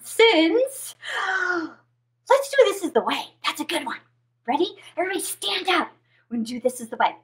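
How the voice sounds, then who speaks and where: A woman with a high voice tells stories to young children. She is expressive and theatrical, and her pitch swings widely as she goes. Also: normal speed, long pauses. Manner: exaggerated, expressive delivery. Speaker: children's story time host. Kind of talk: animated storytelling for young children.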